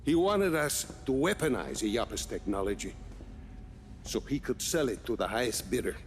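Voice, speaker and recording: An actor playing an older, thoughtful, weary scientist in a video game, with a measured, explanatory delivery. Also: male voice, medium pitch, animated, a little hiss or hum, lightly acted. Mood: wistful explaining. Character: older male scientist, thoughtful, proud, weary ex-prisoner plotter. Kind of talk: cinematic video game acting. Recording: music behind.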